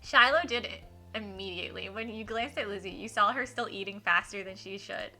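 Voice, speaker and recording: A woman livestreaming a game, switching between informal chat with viewers and expressive read-aloud in a giggly, animated manner. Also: mid-range voice, fairly quick, faint music behind. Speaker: livestreamer hosting a visual novel playthrough.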